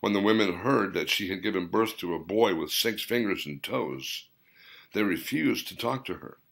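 A man reading his own novel as a dramatic audiobook, in deliberate, theatrical short phrases. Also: sits low, vocal fry, a bit bright.

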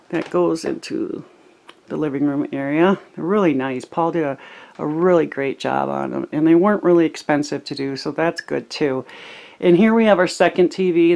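A woman casually narrating a tour of her small cabin, filming with a handheld camera, chatty and relaxed with lots of ums. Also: low-pitched, creaky. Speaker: homesteading vlogger giving a home tour.

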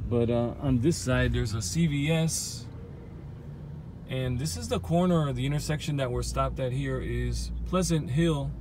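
Man narrating over loud car noise. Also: mid-range voice, unhurried. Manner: pointing out passing sights in short phrases. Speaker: vlogger narrating a drive. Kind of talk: unscripted driving tour commentary. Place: inside a moving car. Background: car engine and traffic noise.

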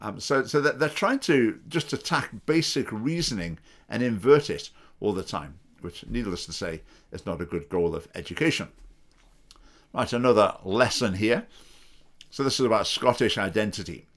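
A man's voice: on the low side, expressive, moderate pace, a bit bright. A middle-aged man in his fifties giving opinionated, sarcastic political commentary to a webcam from his home study. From England. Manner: opinionated, sarcastic, mocking quoted voices. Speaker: political party spokesman. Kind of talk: commentary to camera. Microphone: webcam video call framing.

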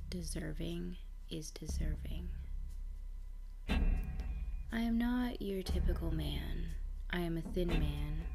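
A woman giving a slow dramatic horror narration as a quiet, vengeful bullied loner, hushed and half-whispered, with menace. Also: low voice, gravelly, heavily acted. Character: quiet, vengeful bullied man confessing his nightmare. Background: music under the voice.

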